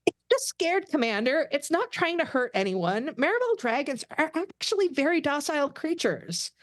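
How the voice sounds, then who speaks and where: Woman playing an excitable, high-pitched young alien lieutenant. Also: moderate pace, dry recording. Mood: defensive. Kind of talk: amateur table read of animated sci-fi script.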